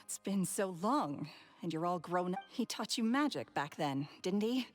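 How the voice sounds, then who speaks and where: A woman playing a bitter, guarded former phantom thief in a video game, with measured, dramatic, cynical delivery. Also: on the low side, lively intonation, natural voice. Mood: incredulous, scornful. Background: background game music.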